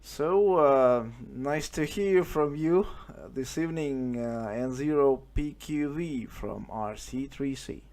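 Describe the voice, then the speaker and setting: Slow, hesitant Russian-accented man, mellow voice. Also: mid-range voice. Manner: hesitant, halting, searching for words. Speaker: amateur radio operator. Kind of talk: casual radio contact chat. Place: at home, indoors.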